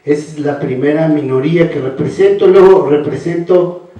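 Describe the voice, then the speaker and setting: An older man giving a measured formal speech as a panelist, often ending phrases with a questioning "no". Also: on the high side, dark tone, lo-fi sound. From Mexico. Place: large hall. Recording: echoey hall, voice from far off.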